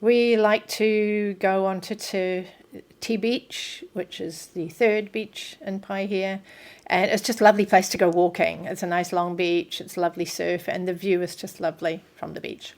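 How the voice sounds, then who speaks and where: New Zealand woman, Airbnb host, mid-pitched, friendly and cheerful. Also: fairly even intonation, moderate pace, a little mellow. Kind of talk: casual interview.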